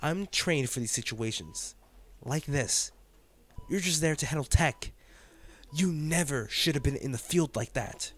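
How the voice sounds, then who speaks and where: A man's voice: a little high, lively intonation, unhurried, fairly bright tone. A man playing an intense, protective male field agent in a dramatic audio roleplay, speaking emotionally and emphatically to his partner. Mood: angry, scolding. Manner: emotional, emphatic, addressing the listener directly.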